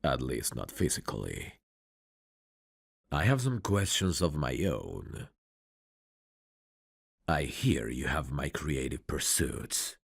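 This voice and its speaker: A man acting a courteous, tender mafia bridegroom in an intimate ASMR romance roleplay, soft-spoken and flirtatious with a husky, gravelly voice. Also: rumbling low, no echo, clean studio sound, exaggerated voice. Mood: calm, courteous.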